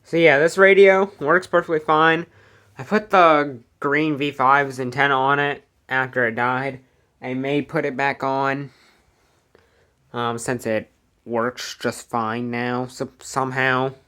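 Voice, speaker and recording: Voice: mid-range voice, fairly even intonation, slow pace, sharp tone. An American speaker with an informal, rambling, matter-of-fact way of talking, showing off a collection in a home video. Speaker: weather radio collector presenting a collection. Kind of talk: casual product showcase vlog. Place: indoor room at home.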